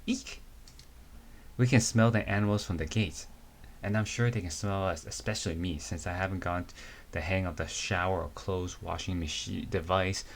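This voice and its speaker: A man lends his own low voice to a young woman raised in the wilds, narrating her trip to a zoo. The delivery stays plain and unpolished, like a casual fan reading of a comic. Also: fairly even intonation, moderate pace, a little hiss or hum. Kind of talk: amateur dramatic reading of a comic.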